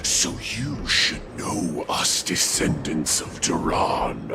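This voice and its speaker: A man voicing a defiant, mocking wolfman warrior in a video game, with a gravelly, menacing growl. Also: rumbling low, sing-song, takes it slowly, exaggerated voice. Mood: defiant, taunting. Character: defiant savage wolfman warrior, captured and taunting. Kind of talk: dramatic video game voice acting. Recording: loud game music underneath.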